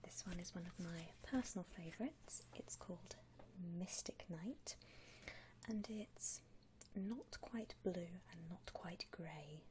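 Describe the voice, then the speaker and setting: A woman plays a female vampire coffin seller in an ASMR roleplay. She speaks in a slow, low-pitched whisper. Her manner is attentive and gentle, with hesitant pauses and soft little hums. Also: rough recording. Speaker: ASMR performer playing a shop consultant.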